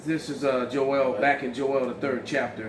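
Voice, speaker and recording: Male scripture reader, measured delivery, distant and roomy. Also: medium pitch, little pitch movement. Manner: slow, measured reading. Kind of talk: reading aloud in a group discussion. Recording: distant mic in a noisy, echoey room.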